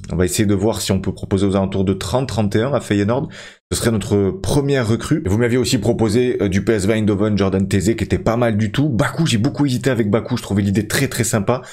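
Chatty French-speaking gamer, lowish voice. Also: male voice, darkish tone. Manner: chatty, addresses viewers as friends, many fillers. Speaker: gaming YouTuber. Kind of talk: casual commentary over a football career game.

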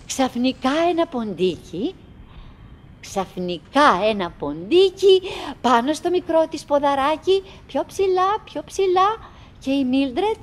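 An actress playing a wistful, chattering middle-aged wife in a theatrical radio monologue, in broken, repetitive phrases with dramatic pauses. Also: female voice, high-pitched. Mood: agitated, frantic. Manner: fragmented, repetitive, dramatic pauses with sudden swells.

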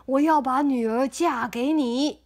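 Mom doing nervous old mouse chief, stammers, kinda loud, storybook read. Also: female voice, sits high, measured pace. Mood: pleading. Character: fretful old male mouse village chief.

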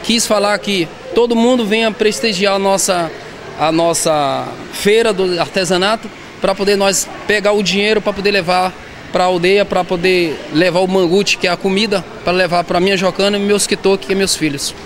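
Halting man talking in a busy mall. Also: high voice, fairly even intonation, fairly bright tone. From southern Bahia, Brazil. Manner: halting, repeats and restarts words. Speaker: artisan interviewee. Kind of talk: street interview. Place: indoor shopping mall. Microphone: handheld reporter mic. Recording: noisy mall background.

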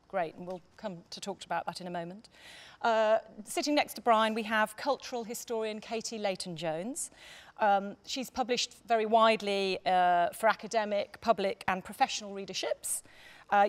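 A middle-aged woman chairing a stage panel, reading from notes and making introductions in a hesitant way with frequent pauses. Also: medium pitch. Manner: hesitant, often pausing with ums. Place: on stage in a theatre.

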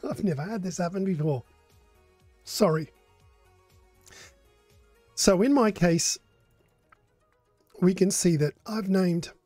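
Older guy teaching modding on stream, hoarse and mellow, dry close sound. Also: sits high, dry recording. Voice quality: hoarse, coughing. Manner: relaxed, explanatory. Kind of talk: live coding tutorial.

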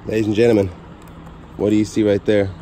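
A man in his forties, chatty and excited, talking to viewers while unboxing outdoors beside storage units. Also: fairly low pitch, fast talker. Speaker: storage auction reseller. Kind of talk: casual unboxing vlog. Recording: outdoor noise behind voice.

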